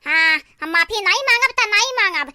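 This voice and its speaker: A performer voicing a melodramatic, aggrieved village sister-in-law in a family comedy cartoon, with a squeaky pitched-up voice, a Bhojpuri-Awadhi North Indian accent and a theatrical, scolding, wailing delivery. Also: expressive, fairly quick, bright, forceful tone, slight background noise, heavily acted. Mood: defiant. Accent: Bhojpuri-Awadhi belt of North India. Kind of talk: exaggerated family comedy cartoon dialogue in rural dialect.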